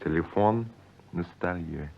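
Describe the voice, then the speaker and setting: A man in a scripted 1950s radio language lesson, speaking carefully and deliberately as the learner and co-presenter. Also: sits low, vocal fry. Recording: dull, lo-fi 1950s radio recording.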